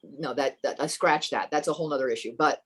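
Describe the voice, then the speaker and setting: A woman with a fairly low voice speaks as a podcast guest, thinking aloud and circling back on her words. She is earnest and unhurried and leans into the points she cares about. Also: slightly rough. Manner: thoughtful, halting, with many restarts. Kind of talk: reflective personal storytelling.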